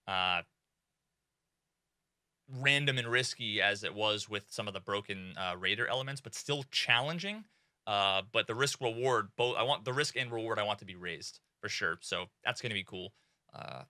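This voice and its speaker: Young man, low-pitched, bright, excitable, swearing, filler-heavy, dry close desk mic. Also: no echo. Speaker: gaming streamer. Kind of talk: casual gaming commentary. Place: small home streaming room.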